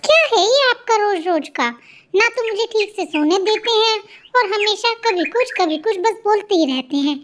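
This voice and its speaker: A lazy elder-sister bird, played by a woman, very high in pitch and full of complaint and self-pity. It is children's cartoon storytelling, with faint noise and chirping behind the voice. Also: animated, normal speed. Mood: irritated, defiant. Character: lazy, work-shy married female bird, elder sister.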